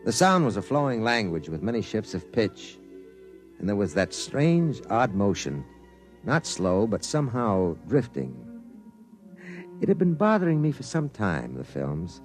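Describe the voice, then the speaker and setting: A man's voice: lowish voice, darkish tone. An actor playing a wary, observant man who narrates and talks in a 1950s radio drama, reflective and intent. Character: wary, observant male narrator with nagging suspicions.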